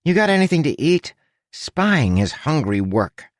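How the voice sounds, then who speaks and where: Man voicing a high-pitched, bossy little talking rat. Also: sing-song, a little slow, no echo, clean studio sound. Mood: cheeky. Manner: fussy, dramatic, exasperated. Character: small chatty male rat, bossy and theatrical.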